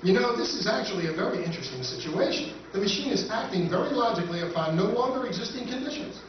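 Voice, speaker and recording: A man plays a brainy, skeptical spaceman in an old-time radio drama. His voice is high and his manner measured and a little pedantic. It sounds distant, in a very echoey hall. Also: expressive, a little hiss or hum. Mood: analytical. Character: reasoning, skeptical male spaceman, calm analytical sidekick. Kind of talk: live recreation of 1950s radio science fiction. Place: convention performance room, picked up from afar. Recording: echoey convention hall, distant muffled recording.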